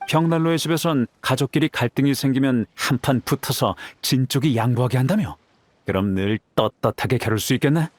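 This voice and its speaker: A man's voice: medium pitch, fairly quick, dry recording, lightly acted. A man voicing a confident, playful young warrior in an anime game, with a casual, easygoing manner. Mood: friendly, candid.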